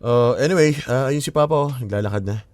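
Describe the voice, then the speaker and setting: A man's voice: medium pitch, fast talker, a little mellow, no echo, natural voice. Man playing a nosy young man. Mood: casual. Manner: inquisitive, pressing, casual Taglish. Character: curious pushy young man. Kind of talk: radio drama acting.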